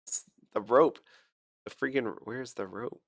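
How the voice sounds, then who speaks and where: A man's voice: medium pitch, a little slow, gravelly, dry recording, studio quality. A sick man rambling through casual gameplay commentary, chatty and self-deprecating, with lots of fillers and restarts. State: sick, fighting a cough. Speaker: gaming channel host.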